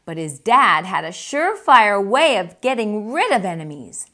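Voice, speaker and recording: Female story-time host, animated pitch, introducing picture books to children. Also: moderate pace. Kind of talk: read-aloud introduction for children.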